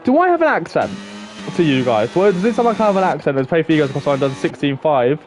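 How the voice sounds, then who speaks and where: A man's voice: on the high side, sing-song, fast talker, a little hiss or hum. A young man giving live, chatty commentary on an online racing league, thinking aloud and talking to viewers. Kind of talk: live esports race commentary.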